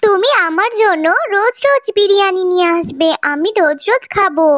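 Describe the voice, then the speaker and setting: A woman acts sweet, girlish village family figures for a cartoon fairy tale. The voice is extremely high and youthful, on a slightly dull, lo-fi recording. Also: animated, a little fast, fairly bright tone. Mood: cheerful, caring. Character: village mother and her sweet young daughter. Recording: dull lo-fi sound.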